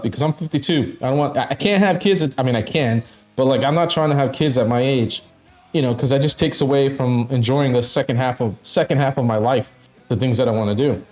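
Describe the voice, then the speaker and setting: A man's voice: medium pitch, fast, a little mellow, soft background music. A man in his fifties chatting casually on a live stream, blunt and frank, swearing often and laughing between thoughts. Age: 50s. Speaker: stream co-host.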